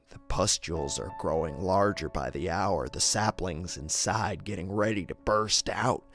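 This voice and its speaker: A man narrating a first-person horror story in an intense, theatrical, dramatic way. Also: low-pitched. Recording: music behind the voice.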